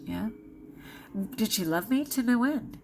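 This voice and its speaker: A middle-aged woman vlogging in a candid, confessional way, speaking emphatically with dramatic pauses as she reflects personally to the camera. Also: mid-range voice, slight background noise. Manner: confessional and emphatic, with dramatic pauses and self-quoted inner voices.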